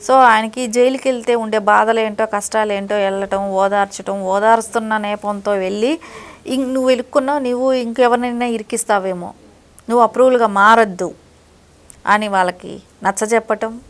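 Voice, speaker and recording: A woman's voice: a little high, steady tone, normal speed. A woman giving critical, opinionated political commentary as an interview guest, in long conversational explanations.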